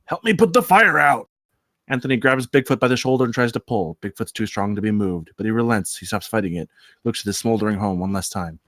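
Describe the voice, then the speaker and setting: Fast-talking man reading screenplay action. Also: on the low side, fairly even intonation, no room sound. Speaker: script reader, narrator.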